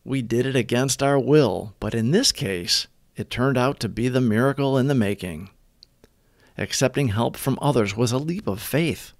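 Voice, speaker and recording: A man reading scripted daily recovery reflections as a host, with measured, deliberate phrasing. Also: mid-range voice, no echo, studio quality.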